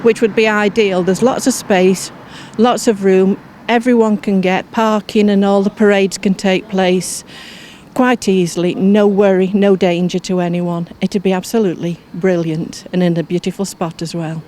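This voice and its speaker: A woman's voice: medium pitch, fairly even intonation, moderate pace, a little mellow, slight background noise. An older woman with an English accent telling her story conversationally in an interview. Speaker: interviewee, local researcher.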